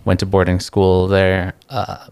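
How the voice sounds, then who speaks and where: Young man, from the Philippines, a bit low, relaxed and reflective, dry close sound. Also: clear, resonant tone, dry recording. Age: young adult. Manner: relaxed and reflective, pausing mid-thought, laughing easily. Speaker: guest. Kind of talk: casual interview chat.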